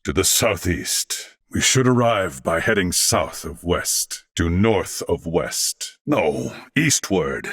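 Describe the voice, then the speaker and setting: A man voicing a courteous older alien warrior-scholar in a video game, speaking formally and eloquently with measured diction. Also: low voice, sing-song, fairly bright tone, no echo, clean studio sound, full character voice. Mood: composed, commanding. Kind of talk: video game character voice lines.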